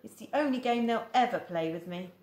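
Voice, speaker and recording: Storybook little girl, high and excited, boastful, read by a woman in an echoey room. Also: fairly quick, lightly acted. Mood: eager. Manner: high, bright, excited girlish voice. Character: lively little Victorian girl, eager and boastful.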